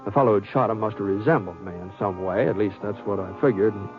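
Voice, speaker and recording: An actor playing an honest, indignant Western gunslinger with a hesitant, folksy drawling delivery in a 1950s radio western. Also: male voice, on the low side, quick pace, highs cut off, faint music behind, close to an everyday voice. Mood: wry narrating. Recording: thin, band-limited old radio recording.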